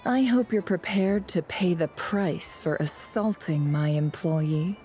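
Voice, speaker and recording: A protective, shrewd boss in a video game. She takes her time in a dark, lowish voice, poised and politely threatening with a teasing edge. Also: slow. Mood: poised menace. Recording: game audio with background music.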